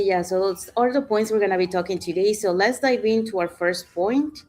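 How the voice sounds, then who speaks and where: A middle-aged woman from Panama hosting a live online panel talk, chatty, with many fillers like "you know". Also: mid-range voice. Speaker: host.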